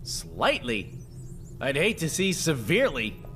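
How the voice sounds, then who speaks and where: Quippy, echoey superhero. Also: male voice, on the high side, sing-song, slow pace, heavily acted. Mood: dry. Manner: dry, quippy. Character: wisecracking cocky superhero leader. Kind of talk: video game cutscene acting. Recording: echoey, loud music behind.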